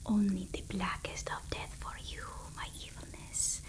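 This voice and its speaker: A woman playing a fawning, sinister assistant to an evil overlord in an ASMR roleplay, whispering breathily with slow, servile relish. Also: mid-range voice, flat delivery, close to an everyday voice. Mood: gleefully sinister. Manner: obsequious, slow, drawn-out, gleefully servile.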